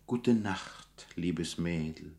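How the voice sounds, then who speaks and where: Cocky gentleman crook for 1960s radio drama: very low voice, nonchalant, slangy, fond. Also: extremely slow, gravelly, full character voice. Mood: softly confident. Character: cocky, easygoing gentleman pickpocket and gangster.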